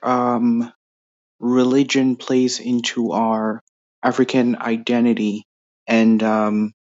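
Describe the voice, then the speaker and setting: A man's voice: medium pitch, darkish tone. A man asking a listener question, hesitant and full of fillers. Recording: dull lo-fi voice message.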